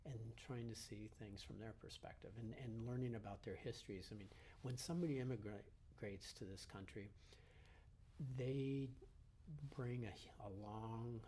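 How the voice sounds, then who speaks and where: Male interviewee, measured and thoughtful, mid pitch, faint ambient noise. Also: a little mellow. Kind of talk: interview talk.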